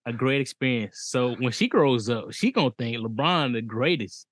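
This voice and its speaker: A man's voice: medium pitch, normal speed, dry recording. A man in his thirties co-hosting a podcast in casual chat, reacting to his partner with laughs and exclamations. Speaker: podcast co-host.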